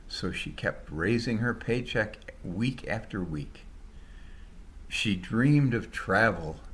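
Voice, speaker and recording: A man with a fairly low voice reads a story he wrote himself. His narration is colloquial and dramatized, with very animated intonation that rises and falls widely. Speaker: storyteller, author reading own story.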